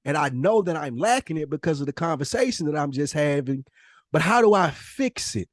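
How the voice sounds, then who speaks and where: A man co-hosting a podcast, talking casually and reflectively in an earnest, introspective way with deliberate phrasing. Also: a little high, animated, no room sound. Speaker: podcast co-host.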